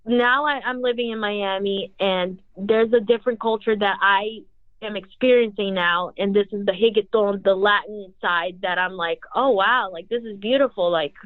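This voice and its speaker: A woman's voice: medium pitch, no echo. A young woman, a singer whose first language is Portuguese, answering interview questions in a rambling way with constant "like" fillers. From Brazil. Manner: rambling, heavy use of "like". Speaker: singer, guest. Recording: tinny phone-like audio.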